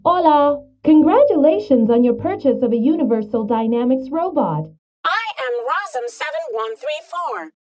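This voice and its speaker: A woman voicing a polite, upbeat female service robot in an animated film, with chirpy, formal salesmanlike delivery. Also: high voice, normal speed. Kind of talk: film robot greeting, multilingual announcement.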